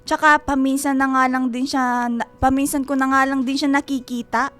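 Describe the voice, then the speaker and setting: A woman's voice: high-pitched, level tone, moderate pace, fairly bright tone, clear, resonant tone. An actress playing a doting, teasing middle-aged godmother in a Filipino radio drama, affectionate and exclaiming. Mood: teasing, proud.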